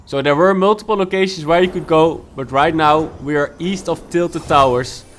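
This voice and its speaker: A man narrating a video game walkthrough, giving steady, instructive explanations of each challenge. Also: a little high, normal speed, faint music behind. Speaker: gaming guide youtuber.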